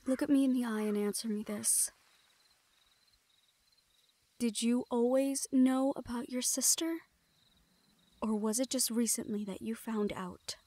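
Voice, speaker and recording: A woman playing a guarded but tender young thief in an intimate roleplay audio drama, speaking in a hushed, hesitant way. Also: a little high, fairly even intonation, unhurried, long pauses, no room sound. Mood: earnest, consoling.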